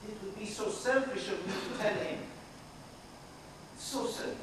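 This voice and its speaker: A man's voice: sits high, measured pace. A young man playing an ailing, resigned elderly grandfather in an amateur stage monologue. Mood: resigned, tender. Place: school theatre stage, recorded from afar. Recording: echoey stage, distant mic, hissy noise.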